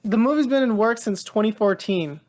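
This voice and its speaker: A woman co-hosting a casual pop-culture news chat, speaking in an informal, halting, slightly bewildered way with slang. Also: on the high side, animated, a little mellow. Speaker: podcast co-host.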